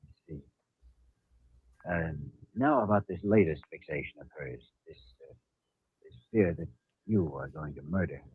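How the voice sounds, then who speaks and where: Serious doctor, deep and very husky, measured 1950s radio acting on a muffled recording. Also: male voice, big pitch swings, no echo, heavily acted. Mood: probing, concerned. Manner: measured, probing, solemn. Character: calm, probing male doctor, serious and concerned.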